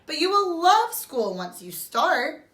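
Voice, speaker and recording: A woman voicing a gentle, reassuring mother raccoon in a storybook, with a soothing, encouraging manner. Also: high-pitched, very animated, slow, pitched above the performer's own voice, heavily acted. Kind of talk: storybook read-aloud. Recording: roomy echo.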